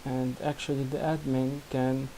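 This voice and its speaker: A young man from Syria with an Arabic accent, a non-native English speaker, demonstrating his student project in halting speech full of restarts and fillers. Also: mid-range voice, level tone, warm tone, slight background noise, poor audio. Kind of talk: screen-recorded software demo.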